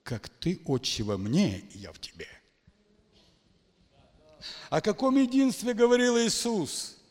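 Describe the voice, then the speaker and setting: A man preaching in a church hall, his higher voice ringing out with a long reverb. He swings widely in pitch, dropping to hushed tones and then shouting his exclamations. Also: wildly swooping pitch, unhurried. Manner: emphatic, dramatic swings from quiet to shouting. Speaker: pastor. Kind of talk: charismatic sermon.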